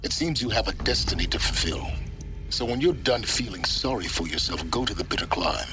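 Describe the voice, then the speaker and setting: Deep, sardonic mentor played by an actor. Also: male voice, expressive, close to an everyday voice. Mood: sardonic, intrigued. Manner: sardonic, deliberate. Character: cool, sardonic, mysterious male mentor. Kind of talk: scripted video game voice acting.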